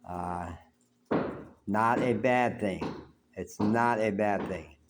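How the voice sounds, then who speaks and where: An older man from the United States with a very low, deep voice talks through a long reflective story in a loose, meandering way. He has a big voice, and faint background noise sits under the recording in his apartment. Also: slow pace. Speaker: vlogger. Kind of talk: reflective solo talk.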